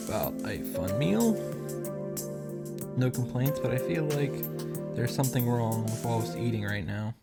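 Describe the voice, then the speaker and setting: A woman giving a casual, expressive recap of a video game, reading out text and reacting with exasperation and swearing. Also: extremely low pitch.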